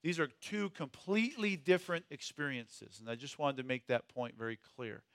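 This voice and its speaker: A man's voice: medium pitch, normal speed, fairly bright tone, dry recording, clean studio sound. A man teaching a Bible study in a conversational way, stressing key words and putting questions to his group.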